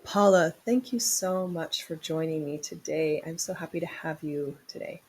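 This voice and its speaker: A woman with a husky voice, presenting and interviewing as a podcast host. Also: low voice, normal speed, a little mellow. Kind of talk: scripted introduction and interview.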